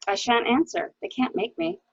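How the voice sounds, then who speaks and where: A woman's voice: high-pitched, sing-song, normal speed, vocal fry, slightly rough, no echo, exaggerated voice. A woman acting a resigned, bitter wife suspected of murder, with genteel, theatrical phrasing, in a dramatic reading of a mystery. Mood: defensive, despairing. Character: resigned, bitter wife caught beside her murdered husband. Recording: dull, lo-fi audio.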